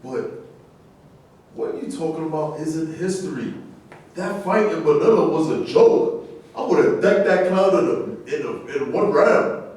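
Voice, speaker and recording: A man's voice: a little high, animated, measured pace, a little mellow. A man acting in a live staged reading as a combative, taunting fighter full of macho pride, with a scornful, challenging delivery. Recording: very echoey room, far from mic.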